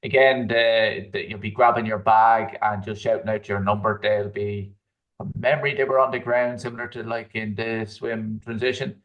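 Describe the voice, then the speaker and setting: A man who is a triathlete and guest coach, giving relaxed advice full of "like" and "um". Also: lowish voice, moderate pace, recorded from a distance, dull audio. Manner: relaxed, fillers like "like" and "um". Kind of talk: casual advice chat.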